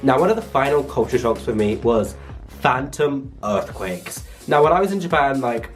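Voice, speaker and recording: A young man from England chats to his viewers in an easygoing vlog, leaning on "like" as he goes. His voice sits at an ordinary middle pitch. Faint music plays behind him. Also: sing-song, moderate pace. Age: young adult. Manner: chatty, lots of "like" fillers. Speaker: vlogger. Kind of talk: casual vlog monologue.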